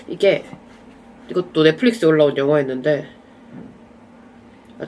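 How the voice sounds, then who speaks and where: Young vlogger w a bit of a cold, stuffy nasal voice, just rambling chill about what they watched, faint hiss behind. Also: medium pitch. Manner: relaxed, chatty, drifting off into asides. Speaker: vlogger reviewing films and dramas. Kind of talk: casual rambling chat to camera.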